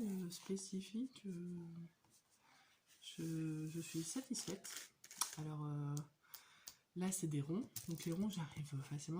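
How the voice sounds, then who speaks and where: A woman in her thirties with a cold, chatting casually and hesitantly about her crafting hobby, with many pauses and fillers. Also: lowish voice, warm tone, slight background noise. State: has a cold. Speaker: hobby vlogger. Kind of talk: casual show-and-tell chat.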